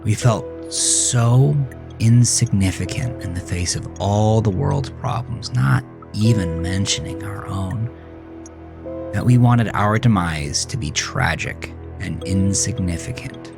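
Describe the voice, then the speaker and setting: Jaded brooding man telling a confessional horror story about his wasted youth, really deep gravelly voice, music under it. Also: takes it slowly, heavily acted. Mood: brooding, bitter. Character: jaded man recalling his angry, self-destructive teenage years. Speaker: narrator of a horror audio drama. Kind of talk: first-person scripted horror narration, dramatic monologue.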